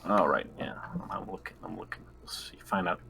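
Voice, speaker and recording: A man's voice: low voice, expressive, a little fast, vocal fry, pushed and bright, whispered. A man chatting casually as a player in a tabletop role-playing game.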